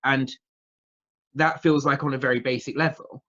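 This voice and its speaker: A man with a southern English accent talks in a casual interview through slightly muffled, lo-fi audio. His voice sits at a middling pitch. He rambles and hesitates, circling round his points as he goes. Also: steady tone, normal speed, sharp tone. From England, near London. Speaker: interview guest, witchcraft practitioner.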